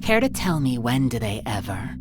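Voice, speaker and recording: A haughty crime queen from a visual novel, with an exceptionally deep voice that rises and dips dramatically. Her delivery is theatrical, drawling and condescending, over clearly audible music. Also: big pitch swings, moderate pace. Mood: demanding. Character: imperious crime boss woman, haughty, mocking "Queen Bee".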